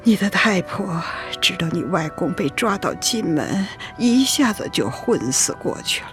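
A woman's voice: lowish voice, sing-song, faint music behind. An actress playing an elderly grandmother in a radio drama, sorrowful and regretful, telling the past wistfully. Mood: grieving. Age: older. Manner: slow, wistful, tearful storytelling. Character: sorrowful, regretful old grandmother recalling her lost husband.

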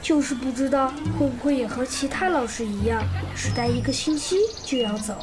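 A woman's voice: sits high, brisk, close to an everyday voice. A woman playing a hopeful, candid fourth-grade village schoolchild in a Chinese radio drama. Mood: excited, wistful. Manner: childlike, candid. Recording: music bed behind the voice.

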